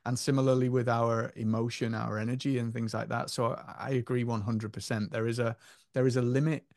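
A man's voice: mid-range voice, level tone, brisk, darkish tone, dry recording, clean studio sound. A man co-hosting a podcast, talking in an earnest, musing way about spirituality and feelings. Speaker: podcast co-host. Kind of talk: casual spiritual chat.

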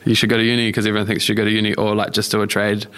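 Low-pitched young New Zealand man chatting. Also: completely flat delivery, rapid-fire, a bit bright, clean tone. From Australia and New Zealand. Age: young adult. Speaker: interview guest. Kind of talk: casual chat.

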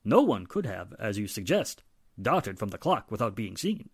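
A man's voice: fairly low pitch, big pitch swings, breathy edge, no echo, clean studio sound, full character voice. An actor playing a haughty, formal, wealthy father in a 1940s-style radio mystery. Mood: smug, reasoning. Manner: formal, pompous, measured. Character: polished, haughty wealthy father, secretly guilty.

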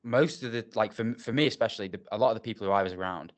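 A man with a fairly low voice hosts a casual podcast interview. He talks in a relaxed, reflective way and steers things along with follow-up questions. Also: very fast. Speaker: podcast host.

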